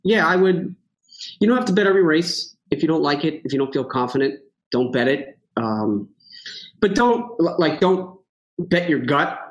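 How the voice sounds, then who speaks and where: A man's voice: a little high, lively intonation, normal speed, low-quality recording. A man speaking as a podcast guest in a casual chat, rambling with many fillers and joking at himself. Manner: rambling, lots of fillers and restarts, self-joking.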